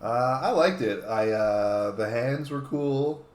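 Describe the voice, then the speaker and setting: A man's voice: mid-range voice, slow, a little mellow. A man co-hosting a casual panel chat. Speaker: talk show co-host. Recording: echoey room.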